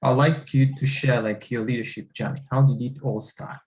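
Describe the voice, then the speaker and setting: A man interviewing a guest in a halting way, full of fillers. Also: medium pitch, fairly even intonation, a little mellow, rough recording. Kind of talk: casual interview.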